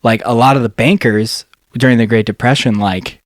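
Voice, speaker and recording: A man co-hosts a comedy podcast and tells stories in a loose, rambling way, leaning on fillers as he goes. His voice sits in an ordinary middle pitch. He is recorded close and dry. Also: steady tone, normal speed, no echo. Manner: rambling, heavy "like" fillers, laughs easily. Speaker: podcast co-host. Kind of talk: casual comedic chat.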